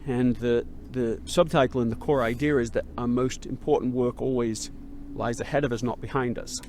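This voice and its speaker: A man hosting a podcast interview, talking in a halting way with many repeated starts as he reflects and builds ideas aloud. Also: mid-range voice, steady tone, darkish tone, slight background noise. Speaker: podcast host. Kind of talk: conversational interview.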